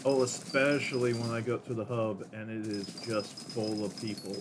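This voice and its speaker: A man with a deep voice casually rambling to himself while streaming a game. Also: little pitch movement, moderate pace, noisy background. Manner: rambling, self-talking, counts under breath. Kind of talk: casual gameplay commentary.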